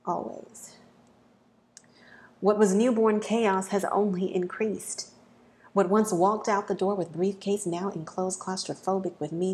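A woman in her thirties performing a spoken word poem at home, slow and measured with dramatic pauses, sometimes dropping to soft, breathy near-whispers, recorded on a wired earphone mic. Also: mid-range voice. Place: small room at home, indoors.

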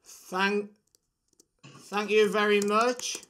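Young british guy doing magic patter on video chat, high voice, casual. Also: flat delivery, very slow, a bit bright. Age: 20s. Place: small room at home.

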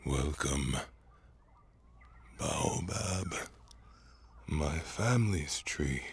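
An actor playing a frail, gentle old great-grandfather and storyteller in an audio drama, speaking haltingly and wearily. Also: rumbling low, gravelly, noisy background, full character voice. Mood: weary, solemn. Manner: halting, tender, weary.

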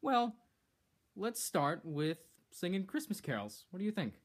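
A teenage boy hosting a comedic skit in a living room, bossy and exasperated, bursting into shouts, recorded on a camera mic a few feet away. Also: sits high, big pitch swings, measured pace. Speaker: host. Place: decorated living room indoors.

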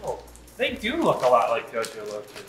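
Male co-host, mid-pitched and bright, casual shop-floor chat in a reverberant room. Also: huge pitch swings, moderate pace. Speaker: aquarium shop staff co-host. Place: indoors in an aquarium shop.

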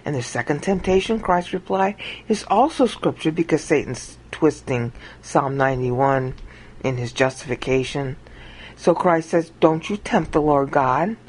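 A woman giving an informal Bible teaching monologue over a screen recording, acting out quoted lines with big jumps in pitch. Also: measured pace, darkish tone, breathy edge, low-quality recording.

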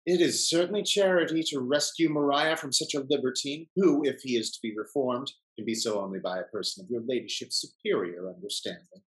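A middle-aged man acting a smooth-talking, hypocritical gentleman in a period stage comedy, courtly and sententious. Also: medium pitch, brisk, hardly any pauses, close to an everyday voice, rough recording. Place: indoor room, performing on video call.

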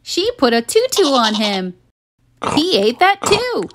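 Very animated, high-pitched woman storyteller. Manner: expressive, dramatic pauses for children. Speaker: children's storyteller. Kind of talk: animated read-aloud narration.

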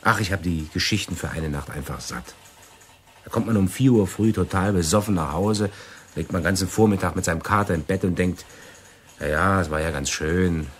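An actor playing a flippant, lovestruck bachelor intelligence clerk in a radio play, casual and confiding. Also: male voice, deep voice, brisk, slight background noise, lightly acted. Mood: wry, weary. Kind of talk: German radio play acting.

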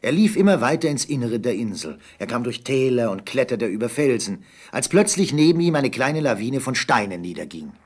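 A man with a husky voice narrating an adventure radio play as scripted storytelling. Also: mid-range voice, big pitch swings, brisk, hardly any pauses, a little mellow.

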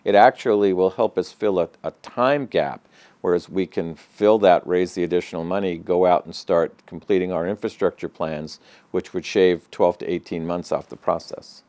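An older man with a very low voice gives steady, matter-of-fact answers, pausing on small fillers and restarting phrases. The sound is dry and close, picked up by a clip-on mic in an office. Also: dry recording. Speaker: regional center president, interview guest. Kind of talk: business interview answers. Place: office with bookshelves, indoors. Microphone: lapel mic.

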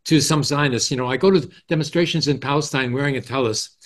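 An older man chatting in a group discussion on a video call, haltingly, often adding "you know". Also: medium pitch. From Canada. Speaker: forum participant and moderator. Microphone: headset on video call.

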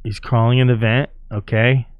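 A man's voice: medium pitch, level tone, normal speed, dry recording, dull audio. A man hosting a casual reaction video, commenting on game clips and playfully reading captions aloud. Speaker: YouTube host.

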